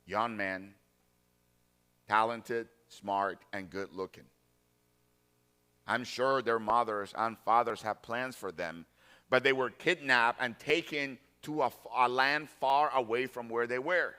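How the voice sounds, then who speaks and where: A man's voice: medium pitch, sharp tone, clean tone. A man in his forties from Colombia, preaching a sermon and hammering home his points by repeating key phrases. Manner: deliberate, emphatic repetition of key phrases. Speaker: pastor.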